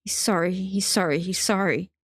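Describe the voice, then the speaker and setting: A woman acts a bitter, guarded young protagonist with a lowish voice, terse and snarling through old hurt. It is audiobook dialogue, recorded dry. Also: fairly even intonation, moderate pace, no echo, natural voice. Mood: incredulous. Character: wounded, angry young narrator, guarded and bitter.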